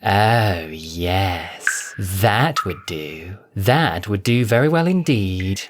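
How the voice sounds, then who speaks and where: An imperious, flirtatious noblewoman villain, acted out by a man, speaks in a breathy, theatrical way. Every phrase drips with vanity and condescension, as audio drama over a clearly noisy recording. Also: sits low, lively intonation, very slow, heavily acted. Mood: testy, smug.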